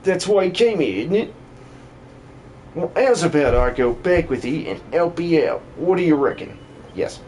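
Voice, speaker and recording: A man voicing an excitable, gushing worm creature with folksy rustic phrasing in a video game dub. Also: a little high, normal speed, slight background noise. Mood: grateful, eager.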